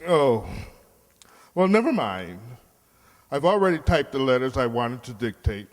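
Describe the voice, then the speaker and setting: A man's voice: medium pitch, big pitch swings, unhurried. A man playing a brusque, sarcastic, impatient office boss in old-time radio comedy style. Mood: exasperated. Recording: echoey hall, long reverb.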